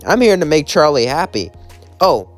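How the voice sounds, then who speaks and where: A man's voice: a little high, sing-song, moderate pace, no echo, faint music behind. A man voicing a happy, naive, childlike sponge creature in an eager, exclaiming manner, as in a fan-told cartoon story. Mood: eager, cheerful.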